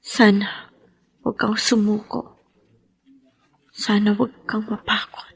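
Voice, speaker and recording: An actress with a Filipino accent playing a loving, hurt wife and older sister in a melodrama, with a husky, breathy voice. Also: female voice, mid-range voice, little pitch movement, slow, exaggerated voice. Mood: wistful. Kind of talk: Tagalog melodrama acting.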